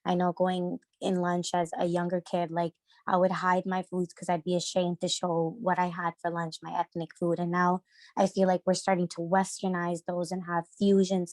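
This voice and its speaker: Young woman guest, low monotone, dry close sound, hesitant delivery with lots of fillers. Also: normal speed, no echo. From Sri Lanka. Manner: hesitant, many fillers and restarts. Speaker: podcast guest. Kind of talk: casual discussion.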